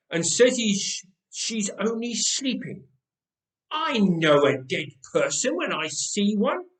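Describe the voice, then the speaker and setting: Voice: mid-range voice, a bit bright, echoey room, voice pushed up, low-quality recording. The narrator voicing a senior woman professional mourner who is prim, genteel and condescendingly patient in a comic story.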